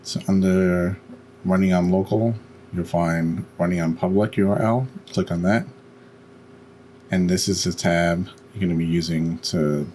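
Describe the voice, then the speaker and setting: Male tutorial narrator, deep register, halting step-by-step instruction, noisy home recording. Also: dark tone. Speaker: tutorial host.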